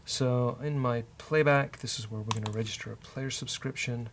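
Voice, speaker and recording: A man talks through live coding in a casual, joking way, as a host thinking aloud. His voice has a gravelly creak and sits at a middling pitch, with typing, clicks and faint noise around it. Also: steady tone, moderate pace.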